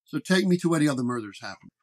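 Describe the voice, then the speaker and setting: Man as stoic male detective, dry and terse, slightly high voice, noir horror audio story. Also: expressive, racing, close to an everyday voice. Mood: dry, composed.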